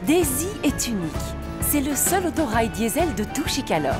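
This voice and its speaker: A woman's voice: sits high, very animated, moderate pace. A woman reading scripted narration for a French children's cartoon as storyteller. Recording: music behind the voice.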